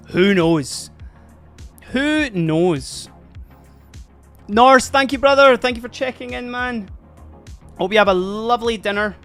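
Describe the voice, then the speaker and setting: Slow-talking giggly hobby streamer. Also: mid-range voice, fairly bright tone. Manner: chatty, giggly, rambling, addresses chat. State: slightly hoarse from laughing. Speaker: live-streaming miniature painter. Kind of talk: casual livestream chat while painting.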